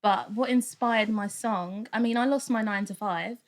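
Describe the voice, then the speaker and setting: A woman's voice: medium pitch, moderate pace. Casting: teen woman guest, frank and unfiltered, swears easily. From Nigeria. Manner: blunt, frank, swears freely. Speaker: podcast guest. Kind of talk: casual chat.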